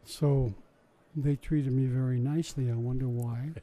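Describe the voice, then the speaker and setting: An older man reminisces in a relaxed interview, his voice very dark and mellow. He tells his stories in a chatty, wry way, often restarting mid-sentence and dropping small asides. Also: mid-range voice, moderate pace. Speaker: interviewee recalling his science show work. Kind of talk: casual oral-history storytelling.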